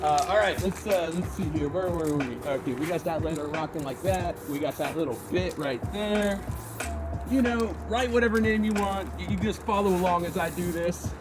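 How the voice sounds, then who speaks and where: A man hosting a graffiti how-to, his voice hoarse, chatting casually and rambling in slang while he paints outdoors. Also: high-pitched, normal speed, loud music behind the voice. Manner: laid-back, rambling, slangy. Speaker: graffiti artist and channel host. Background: hip hop backing beats.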